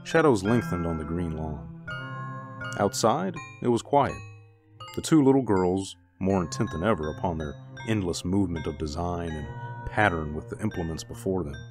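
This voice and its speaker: A man's voice: deep voice, darkish tone. A man giving scripted narration for a suspense radio drama.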